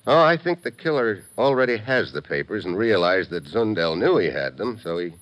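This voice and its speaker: Hard-boiled american agent, really low voice, swings around a lot, old radio drama. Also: male voice, very animated, normal speed, a bit bright, poor audio. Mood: wry, urgent. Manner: hard-boiled, slangy, clipped. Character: tough, wry American secret agent. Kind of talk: 1950s radio drama acting.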